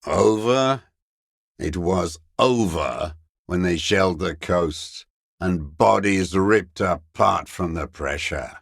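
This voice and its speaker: Very deep, gravelly bitter old deserter. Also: male voice, slow, dry recording, clean studio sound, full character voice. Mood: bitter, haunted. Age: older. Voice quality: gravelly, raspy. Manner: contemptuous, cursing, ideological ranting. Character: bitter, dying old communist deserter, paranoid and contemptuous. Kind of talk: dramatic video game voice acting.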